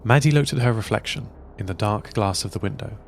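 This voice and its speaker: A man narrating an audio drama in a calm, measured way. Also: on the low side, a little hiss or hum.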